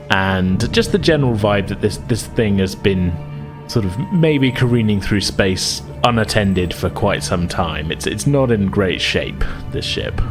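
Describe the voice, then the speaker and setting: A man narrating casually as game master, informal and explanatory, feeling his way through sentences. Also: fairly low pitch. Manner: hesitant, informal, explanatory, chuckling asides. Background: background music.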